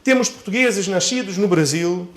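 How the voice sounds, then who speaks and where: A man preaching to a church congregation as a pastor, phrasing his points deliberately and repeating key phrases for emphasis. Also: high-pitched, a bit bright.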